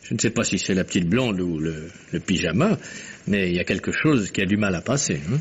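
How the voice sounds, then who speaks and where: An actor playing a flirtatious, insistent ex-husband, a smooth-talking captain, in a 1950s-style French radio drama. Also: male voice, sits low, lively intonation, a little fast, full character voice. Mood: probing. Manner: smooth-talking, teasing, hesitant flourishes. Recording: muffled, noisy vintage radio recording.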